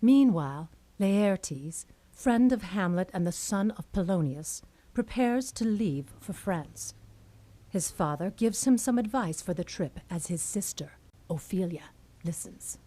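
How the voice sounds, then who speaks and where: A woman's voice: mid-range voice, expressive, measured pace, warm tone. A woman narrating a puppet play as a scripted storyteller. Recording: music behind the voice. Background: clear background music.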